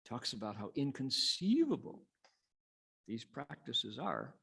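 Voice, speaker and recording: A man reciting and teaching Buddhist scripture as a monk, in a measured, dramatic storytelling manner with pauses. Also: mid-range voice, sing-song, gravelly, a bit bright, breathy edge. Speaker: Buddhist monk, sutra lecturer. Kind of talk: sutra recitation and dharma lecture.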